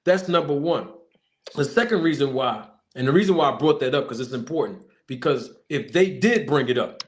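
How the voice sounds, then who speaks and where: Emphatic boxing manager hosting his show. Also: fairly high pitch. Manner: forceful, repetitive, preacher-like emphasis; casual slang-heavy speech. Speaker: boxing manager and channel host. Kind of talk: emphatic casual talk show monologue.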